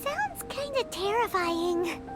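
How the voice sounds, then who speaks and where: A super squeaky little fairy sidekick from a game, perky and slow paced, loud music under it. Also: female voice, sing-song, full character voice. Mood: wary. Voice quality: squeaky, childlike. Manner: perky, cheeky, exaggerated. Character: tiny childlike floating fairy companion, excitable and skeptical. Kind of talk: scripted video game character dialogue.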